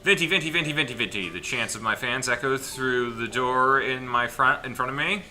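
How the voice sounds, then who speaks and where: A mid-pitched narrator reads visual novel text aloud for a casual gaming video. The delivery is playful and dramatic, broken by stumbles and wry asides. Music plays clearly behind the voice. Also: a little fast, sharp tone.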